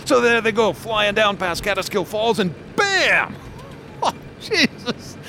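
A boastful wisecracking detective. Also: male voice, sits high, very animated, slow, bright, forceful tone, slight background noise, exaggerated voice. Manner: chatty, laughs at his own stories. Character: boastful wisecracking male state trooper detective. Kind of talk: radio drama acting.

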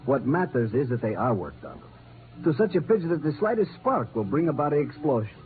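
A man's voice: fairly high pitch, very animated, brisk, over music. An actor playing an anxious, grave man, formal and emphatic, in 1950s radio drama. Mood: urgent. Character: grave, urgent Latin plantation man, anxious warner. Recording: narrow, noisy old radio recording.

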